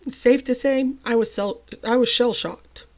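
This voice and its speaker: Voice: medium pitch, measured pace. A young adult host telling a personal story in a chatty, self-deprecating way, voice still a little off from a cold. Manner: chatty and self-deprecating, with lively emphatic bursts. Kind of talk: storytime narration.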